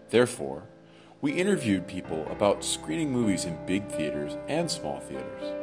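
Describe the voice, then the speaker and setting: A man giving a scripted narration in a measured, deliberate way. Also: lowish voice.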